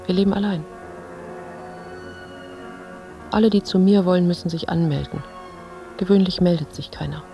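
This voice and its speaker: A woman's voice: on the low side, vocal fry. An actress playing an anxious, withdrawn woman who broods in terse fragments, sometimes dropping toward a whisper, in a literary radio drama. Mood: guarded. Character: anxious, withdrawn younger woman lost in inner thoughts.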